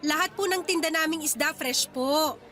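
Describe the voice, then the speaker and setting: Feisty young female fish seller, radio drama; very high, forceful, coaxing yet defiant. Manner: pleading sales talk, quick to talk back. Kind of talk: Tagalog radio drama acting.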